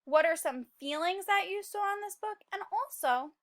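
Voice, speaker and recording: Lady teacher doing a kids read-aloud, high bright voice, really expressive, asks lots of questions. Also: moderate pace. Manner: expressive, exclaiming, prompting listeners with questions. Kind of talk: picture book read-aloud with questions to young children.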